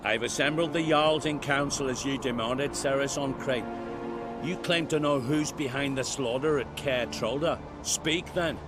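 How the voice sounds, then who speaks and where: An actor playing a stern clan lord in a fantasy game, speaking formally and with solemn authority. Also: male voice, mid-range voice, measured pace, over music, natural voice. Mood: solemn, demanding. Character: stern clan lord presiding over a council. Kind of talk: dramatic fantasy game acting. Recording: dramatic score behind dialogue.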